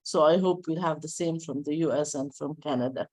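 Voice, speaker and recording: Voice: mid-range voice, a little fast, darkish tone, rough recording. A person hosting a video meeting, politely inviting each attendee to introduce themselves. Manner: polite, guiding the turns. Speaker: meeting host. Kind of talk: moderating a video call. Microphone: video call.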